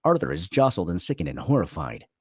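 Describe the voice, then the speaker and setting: Animated, husky male narrator. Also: low-pitched, quick pace, no echo, studio quality. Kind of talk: dramatic screenplay narration.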